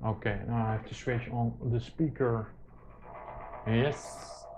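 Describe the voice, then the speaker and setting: A man presenting an informal hands-on tech tutorial, speaking haltingly with very frequent hesitations. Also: mid-range voice, fairly even intonation, darkish tone, a little hiss or hum. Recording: muffled lo-fi mic, music behind.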